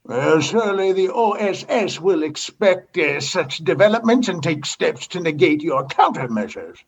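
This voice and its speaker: A man's voice: fairly high pitch, big pitch swings, normal speed, vocal fry, breathy edge, heavily acted. A man acting a skeptical, demanding commodore in a comedy sci-fi radio play, with pointed, condescending questioning, on dull muffled audio. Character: skeptical, demanding commodore inspecting a space station.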